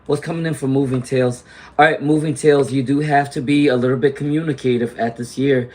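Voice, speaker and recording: A man doing a live tarot reading, chatty and reassuring, clearing his throat often as he loses his voice to laryngitis. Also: medium pitch, steady tone, brisk, a little mellow. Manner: chatty, reassuring, frequent "okay?" and "all right?" tags. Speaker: tarot reader; teacher.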